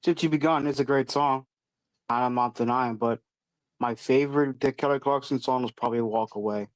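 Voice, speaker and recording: A man's voice: mid-range voice, fairly even intonation, moderate pace, no room sound, rough recording. A man chatting as a guest on a live show, easygoing and a bit hesitant. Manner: easygoing, hesitant, with fillers and restarts. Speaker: guest caller. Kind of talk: casual chat.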